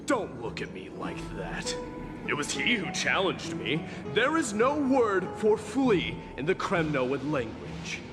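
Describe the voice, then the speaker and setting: Proud warrior in a ringing hall. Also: male voice, a little high, huge pitch swings, takes it slowly, heavily acted. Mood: defiant. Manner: proud, formal, declamatory. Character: proud, formal male warrior, defiant and boastful. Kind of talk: video game voice acting. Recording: long hall reverb under music.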